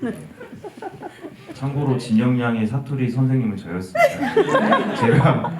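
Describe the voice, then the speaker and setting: A man's voice: medium pitch, huge pitch swings, measured pace. Chatty Busan man in a roomy hall. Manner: chatty and self-joking, laughs often. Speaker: film director at an audience talk. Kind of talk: casual talk at a live Q&A. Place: event hall. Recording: distant, roomy hall sound with clear noise.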